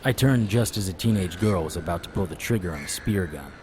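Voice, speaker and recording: A man's voice: low voice, expressive, fairly quick, darkish tone. A man narrating an audio drama in the first person as a sardonic, world-weary vampire hunter, in a hard-boiled style. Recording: audible background noise.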